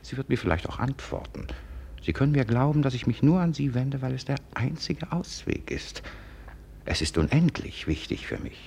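A man playing an irritable, scheming secretary in a 1950s radio drama, halting and insistent. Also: medium pitch, sing-song, creaky, darkish tone. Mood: urgently pleading. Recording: noisy 1950s radio play recording.

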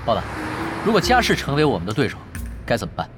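A man playing a seasoned, easygoing esports captain in an audio drama, dry and unhurried as he explains things. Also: medium pitch, huge pitch swings, over music. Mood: sober, dry. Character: laid-back, wry, seasoned male esports team leader.

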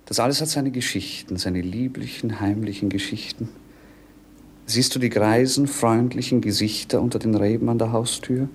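An actor in a 1950s German radio drama playing a melancholy, world-weary young prince with a lyrical, theatrical delivery. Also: male voice, mid-range voice, moderate pace, slight background noise, natural voice. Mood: wistful, tender.

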